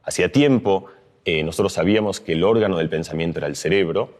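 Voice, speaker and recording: A man's voice: deep voice, quick pace, a little mellow. A middle-aged man from Argentina, speaking as a neuroscientist in a reflective explanatory talk, with fillers and restarts.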